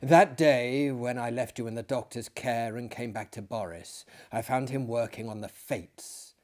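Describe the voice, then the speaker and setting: A man voicing a weary, haunted friend in a dramatic storybook reading, speaking gravely and deliberately. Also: medium pitch, darkish tone, lightly acted. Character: weary, haunted male friend recounting deaths.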